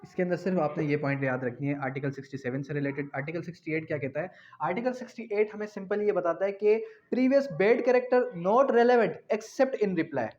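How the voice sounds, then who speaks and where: A man giving an explanatory law lecture, repeating key phrases slowly and asking rhetorical questions in a didactic way. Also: sits high, darkish tone. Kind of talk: explanatory law lecture, mixing in English terms. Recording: dull, muffled lo-fi recording.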